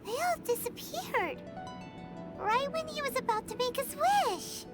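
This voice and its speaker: A tiny fairy sidekick for a video game. The voice is squeaky, childlike and extremely high, bouncing widely in pitch with a cheeky, cute manner. Loud music plays behind it. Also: female voice, expressive, measured pace. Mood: curious, earnest.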